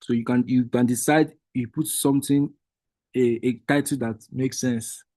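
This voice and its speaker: A man's voice: mid-range voice, steady tone, moderate pace, dark tone, dry recording. A man whose first language is Igbo, explaining a screen-share tutorial step by step to a webinar group, patiently and with frequent restarts. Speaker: workshop trainer.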